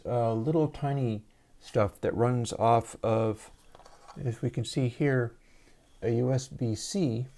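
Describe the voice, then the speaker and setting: Male livestream host, soothing and mellow, easygoing explainer on a lav mic. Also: mid-range voice. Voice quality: soft, soothing voice. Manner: relaxed, rambling, explains gear step by step. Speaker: livestream host and audio developer. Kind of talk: casual livestream demo. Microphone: lavalier mic.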